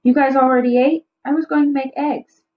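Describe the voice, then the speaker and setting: A woman's voice: high-pitched, fairly even intonation, fairly quick, slight background noise, pitched above the performer's own voice, natural voice. A woman voicing an exasperated, protective mother in a storybook. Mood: concerned. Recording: slightly dull, lo-fi recording.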